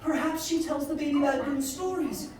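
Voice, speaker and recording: A woman's voice: sits high, moderate pace, a little mellow, a little hiss or hum, pitched above the performer's own voice, natural voice. A woman voicing a polite, earnest young female elephant who pleads eagerly. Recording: echoey room, mic far off.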